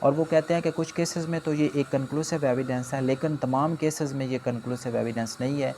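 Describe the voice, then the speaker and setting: A Pakistani man lectures in an explanatory, teacherly way, slipping into English terms as he goes. His voice is mid-pitched with a gravelly, creaky edge. A faint noise sits behind the recording. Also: fairly even intonation, darkish tone. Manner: code-switches into English terms, slow deliberate reading of quoted points. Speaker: law lecturer. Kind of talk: explanatory lecture, reading out points and explaining them.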